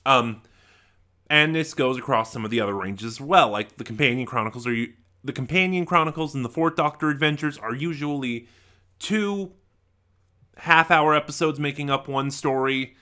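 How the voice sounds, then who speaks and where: A man giving a casual, rambling review, halting and correcting himself, with deliberate emphasis on key words. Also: mid-range voice, pushed and bright. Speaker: audio drama reviewer.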